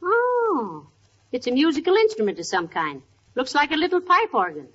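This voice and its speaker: An actress playing a sensible, teasing middle-aged wife in 1940s radio comedy, wry and gently scolding. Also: female voice, high voice, sing-song, normal speed. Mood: rueful. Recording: muffled, noisy old radio recording.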